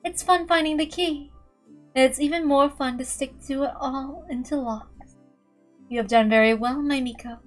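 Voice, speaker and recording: Ancient female witch done by a woman, languid, regal, soft-spoken, high feminine pitch, indulgent, storybook fantasy. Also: steady tone, normal speed, soft background music, natural voice. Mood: pleased, languid.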